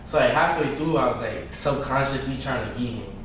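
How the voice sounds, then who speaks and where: A man's voice: medium pitch, fairly quick, a bit bright. A young man with a New York accent, chatting casually and in slang as a musician guest on a podcast. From New York, United States. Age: young adult. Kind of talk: casual slangy interview chat. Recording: echoey room, distant mic, background noise.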